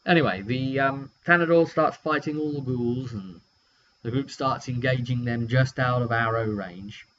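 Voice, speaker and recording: A man's voice: mid-range voice, moderate pace, fairly bright tone. Man recapping a tabletop game, muffled hum. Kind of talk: casual, animated storytelling of a roleplaying game session. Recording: dull lo-fi mic with hum.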